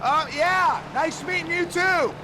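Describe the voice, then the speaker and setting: A man's voice: very high-pitched, slow pace, very noisy. A man playing a sarcastic, hapless teenage boyfriend reduced to a talking head in a comic video game. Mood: awkward, uneasy. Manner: sarcastic, awkward, exasperated. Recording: game dialogue under loud music.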